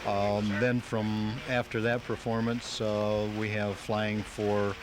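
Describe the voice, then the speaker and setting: A man with a fairly low voice gives hesitant, measured answers in a live radio interview. The sound is an old taped broadcast with loud noise throughout. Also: flat delivery. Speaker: interviewed member of a model plane flying club. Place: outdoors at a flying field. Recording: noisy old home tape of radio broadcast.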